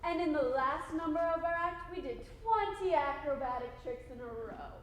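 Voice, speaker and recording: A young woman performing an animated stage monologue in a school reader's theater piece, recorded in a large auditorium. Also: high-pitched, normal speed. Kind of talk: stage monologue in a musical scene. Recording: echoey auditorium from far off.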